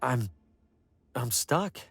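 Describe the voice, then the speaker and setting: A man's voice: mid-range voice, animated, extremely slow, creaky, fairly bright tone, faint music behind, heavily acted. An actor playing a bemused, jittery traveling salesman with wry asides in an adventure video game. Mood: frightened, shaken. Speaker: player character in adventure game.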